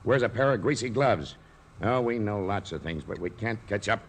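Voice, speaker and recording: Casting: terse police lieutenant, lowish voice, hard-boiled radio style, audibly noisy vintage recording. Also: male voice, lively intonation, moderate pace. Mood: frustrated. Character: gruff, frustrated male police lieutenant. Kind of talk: 1940s radio crime drama acting.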